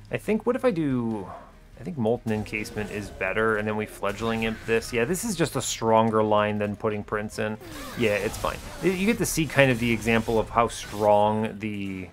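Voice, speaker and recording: A man giving casual video game commentary, thinking aloud and questioning himself as he talks through each decision. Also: mid-range voice. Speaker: gaming video host.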